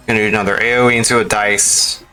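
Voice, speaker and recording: A man's voice: lowish voice, flat delivery, quick pace, bright, forceful tone, clean tone, rough recording. A man giving casual live gameplay commentary, exclaiming and mildly swearing at the game as he talks to it. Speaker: video game streamer. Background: video game music.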